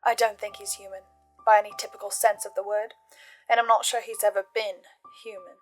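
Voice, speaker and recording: A woman hosting a recap show, laying out her notes and theories in a deliberate, measured way with dramatic pauses. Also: medium pitch, flat delivery, soft background music. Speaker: host of a podcast listener's reaction and theory show.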